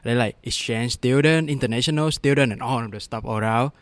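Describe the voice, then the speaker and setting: A man from Vietnam, a student, speaking haltingly in a casual interview and searching for his words. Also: medium pitch, no room sound.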